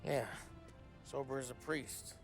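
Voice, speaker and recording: A man's voice: mid-range voice, flat delivery, a little slow, creaky, music in the background. An actor playing a restless, wisecracking mob crewman who talks in a brash, defensive way. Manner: brash, defensive, hot-headed. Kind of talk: video game cutscene acting. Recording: game cutscene with loud score.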